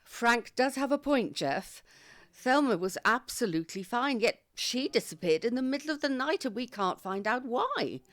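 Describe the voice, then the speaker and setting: An actress playing a shrewd, brisk old care home resident in an audio drama, matter-of-fact and wry. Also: female voice, fairly high pitch, expressive, close to an everyday voice. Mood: concerned. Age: older. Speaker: actor.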